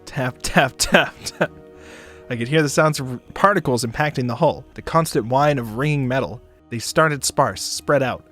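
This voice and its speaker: A man's voice: medium pitch, moderate pace, fairly bright tone. Man reading a story aloud over music. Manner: breaks into playful asides. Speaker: streamer reading a visual novel aloud. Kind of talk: expressive read-aloud narration with ad-libs. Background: game music.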